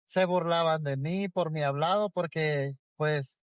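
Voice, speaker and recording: High-voiced Salvadoran man telling a story. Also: unhurried, smooth, no room sound, studio quality. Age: 40s. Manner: loose and conversational, with frequent restarts. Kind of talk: casual personal storytelling.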